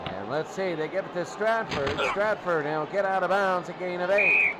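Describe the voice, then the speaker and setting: A man doing excitable play-by-play American football commentary in short, clipped phrases. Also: high voice, normal speed, heavy background noise. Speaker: play-by-play sports announcer. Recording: loud crowd and music behind. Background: crowd and music.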